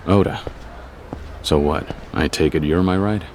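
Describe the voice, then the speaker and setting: A man acting a stoic, gruff young yakuza on the run in an English video game dub, speaking in terse, blunt, guarded lines. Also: unhurried, a little mellow, a little hiss or hum. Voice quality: very deep, low voice.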